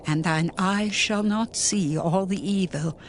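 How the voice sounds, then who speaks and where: A woman's voice: mid-range voice, slight background noise, natural voice. A woman playing a stern prophetess in a dramatized scripture reading, solemnly declaiming divine judgment. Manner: deliberate, oracular, declamatory.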